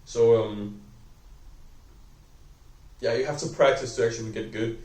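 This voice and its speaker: A young man with a Danish accent talks unscripted to a camera, rambling and filling every pause with "like" while he corrects himself. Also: mid-range voice, a little mellow, far from the mic. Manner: rambling, heavy use of "like", self-correcting. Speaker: vlogger. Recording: echoey room, voice off-mic.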